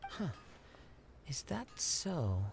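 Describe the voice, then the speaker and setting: An actor playing a calm, modest young samurai investigator in a video game, with formal, thoughtful delivery. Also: male voice, on the high side, drawn-out words, vocal fry, slight background noise, full character voice. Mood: thoughtful, serious.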